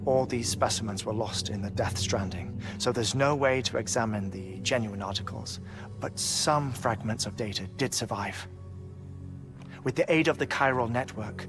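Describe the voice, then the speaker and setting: A man voices an earnest scientist in a video game, laying out theory in a precise, scholarly way. His pitch sits in the middle, with a game score playing clearly behind him. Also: natural voice. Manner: measured, scholarly, precise, lecturing. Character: brainy, earnest male scientist lecturing on theory. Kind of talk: video game cutscene acting.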